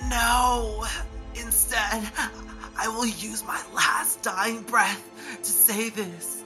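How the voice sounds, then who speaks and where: Very slow, gasping, dying drag queen. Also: mid-range voice, fairly even intonation. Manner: drawn-out, gasping, theatrical. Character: dying drag queen, feeble, petty and melodramatic. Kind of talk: campy comedic radio play acting. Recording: music behind the voice.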